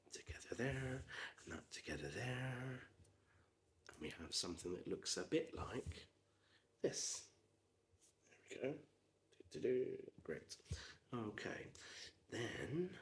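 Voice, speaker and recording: A man in his forties with a soft Gloucestershire accent talks in a quiet, unhurried murmur, filling gaps with ums and now and then dropping to a whisper. His voice sits low and sounds a little hoarse. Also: a little hiss or hum. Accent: Gloucestershire English. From Gloucestershire, England. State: slightly hoarse. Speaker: ASMR creator. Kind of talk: soft-spoken ASMR chat while building.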